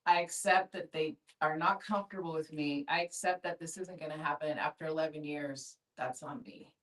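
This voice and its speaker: A woman's voice: deep voice, steady tone, normal speed, a bit bright. A woman speaking candidly and casually in a group discussion, blunt, with emphatic repetition. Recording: distant mic, group discussion.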